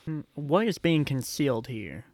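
A man's voice: medium pitch, big pitch swings, moderate pace, darkish tone, no echo. A man playing a tabletop role-playing game, speaking casually as he decides on his actions. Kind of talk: casual chat.

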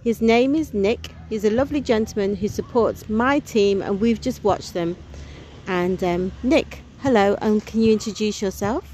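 A woman's voice: medium pitch, normal speed, warm tone, a little hiss or hum. A woman hosting a casual podcast, chatty and enthusiastic. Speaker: podcast host.

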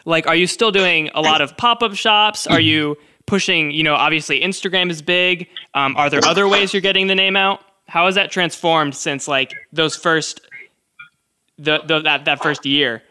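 A man's voice: fairly high pitch, normal speed, pushed and bright, clear, resonant tone. A man interviewing an artist in a casual way, affirming often and asking long rambling questions. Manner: encouraging, frequent short affirmations.

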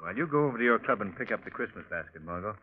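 An actor playing an amused, wealthy young gentleman in an old radio drama. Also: on the low side, animated, rapid-fire, slightly rough. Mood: genial, brisk. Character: urbane, amused, wealthy young man about town. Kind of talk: 1940s radio drama acting. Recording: tinny, noisy old radio recording.

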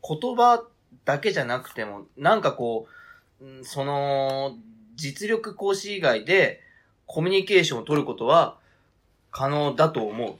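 Man as a baffled, husky-voiced defensive adult. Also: on the high side, sing-song, unhurried, fairly bright tone, exaggerated voice. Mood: fumbling. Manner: hesitant, informal, groping for words. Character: baffled, defensive adult, casually protesting and fumbling for words. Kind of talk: radio drama script reading.

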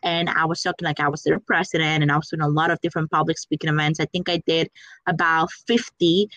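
A woman's voice: low voice, fairly even intonation, fast talker, fairly bright tone, no room sound, rough recording. A young woman from the Dominican Republic, a Spanish speaker, answering interview questions about her career coaching firm with enthusiasm and many fillers.

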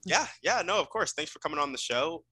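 A man hosting a podcast, introducing his guest in friendly, energetic patter. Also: a little high, fairly quick, vocal fry. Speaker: podcast host.

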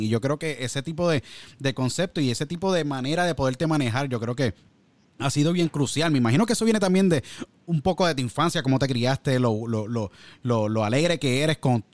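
Rambling Puerto Rican interviewer. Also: male voice, mid-range voice, darkish tone, no echo. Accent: Puerto Rican Spanish. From Puerto Rico. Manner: friendly, rambling, mixes in English words. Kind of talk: casual interview chat.

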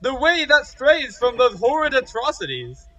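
A man playing a fervent, melodramatic campus zealot who preaches in archaic English in a comedic audio drama, pompous and booming. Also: big pitch swings, normal speed, bright, forceful tone, dull audio, full character voice. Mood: solemn, preaching.

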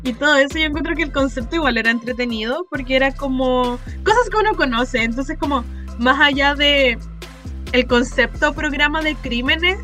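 A woman's voice: sits high, moderate pace, sharp tone, faint music behind. A woman hosting a podcast in casual chat, guiding the talk with questions for her co-host. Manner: guides discussion with questions.

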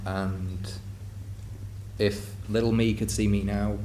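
Low-voiced male panel host, hall echo. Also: flat delivery, takes it slowly, mellow. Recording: echoey hall, distant mic, room noise.

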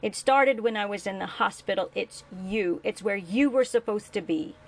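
A woman's voice: medium pitch, normal speed, close to an everyday voice, low-quality recording. A woman voicing a grown man, a wounded and bitter son confronting the father who abandoned him, pleading in an aching voice. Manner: pleading, rising to anguished shouting.

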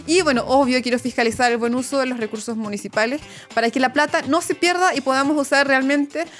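A woman's voice: high voice, quick pace, fairly bright tone, faint music behind. A Chilean woman speaking as a political candidate in a casual campaign interview, explaining her plans.

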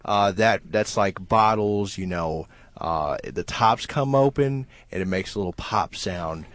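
A man in a comedy sketch explaining things in a mock-earnest, deadpan way. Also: sits low, measured pace, no echo.

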